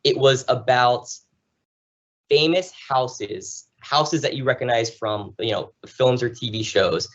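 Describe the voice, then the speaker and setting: Male American podcast guest, conversational and animated storyteller, mid-pitched voice, slightly lo-fi recording. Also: slow. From New Jersey, United States. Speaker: podcast guest, travel show host. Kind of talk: conversational interview, enthusiastic storytelling.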